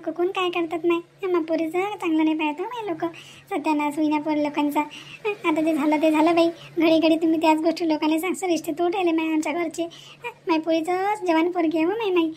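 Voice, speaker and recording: A woman's voice: very high voice, a little mellow. A woman voicing an anxious, aggrieved village mother in an amateur cartoon melodrama, pleading plaintively in a Varhadi Marathi accent.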